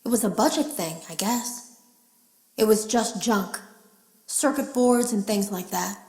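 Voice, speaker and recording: An actress playing an anxious female game developer who leaves hidden audio logs, confiding in a hushed, worried way. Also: mid-range voice, unhurried, natural voice. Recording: roomy echo.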